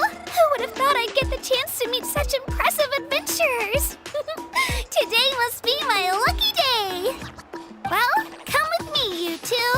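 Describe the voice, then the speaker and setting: Very high-voiced earnest young girl. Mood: cheerful, excited. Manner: eager, humble, sincere. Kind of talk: animated game voice acting. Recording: game music behind the voice. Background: game music.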